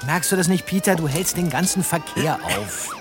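A man's voice: fairly high pitch, sing-song, normal speed, music in the background. An actor playing an amused, slightly impatient teenage boy detective in radio play dialogue. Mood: amused, chiding.

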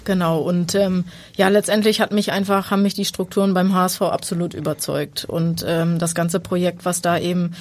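A woman football player answering interview questions, measured and hesitant with fillers. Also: lowish voice, steady tone. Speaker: women's football player, guest.

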